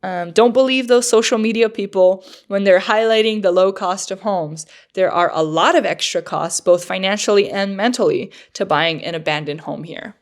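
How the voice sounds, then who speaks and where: A woman's voice: mid-range voice, moderate pace, hardly any pauses. Woman, podcast co-host, slightly nasal, rambling casual delivery. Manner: chatty, lots of fillers and likes. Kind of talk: casual chat.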